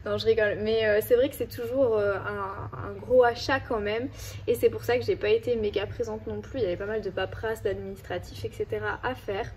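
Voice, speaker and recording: A woman with a stuffy, hoarse voice from a cold, chatting casually in a book vlog and rambling with lots of hesitations. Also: medium pitch, steady tone, brisk, a little mellow, a little hiss or hum. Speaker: book vlogger.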